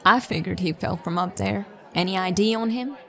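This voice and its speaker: A woman's voice: medium pitch, moderate pace, gravelly, fairly bright tone. A woman playing a polite, determined young detective in a sci-fi audio drama. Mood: uneasy, focused.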